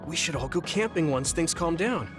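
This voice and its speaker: A man playing a gentle, friendly, easygoing young hero in an anime game dub. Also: on the high side, animated, moderate pace, close to an everyday voice. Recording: music clearly behind the voice.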